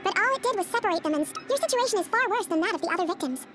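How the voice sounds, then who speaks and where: A woman's voice: very high voice, expressive, very fast. A game's dream-eater therapist, firm and clinical. Her phrasing is articulate and composed, every point laid out analytically. Music runs behind the voice. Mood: grave, firm.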